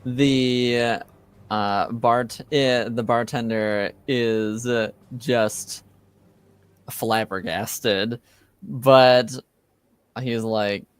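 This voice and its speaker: A man improvising narration as game master, halting often and searching for his words. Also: mid-range voice, steady tone, clear, resonant tone.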